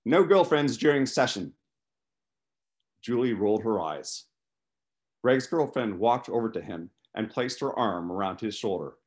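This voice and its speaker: A man in his fifties doing an animated first-person reading from his novel over a video call. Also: on the low side, normal speed. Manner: animated, acts out dialogue. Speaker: author reading from own novel. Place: small living room, indoors. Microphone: video call, computer microphone.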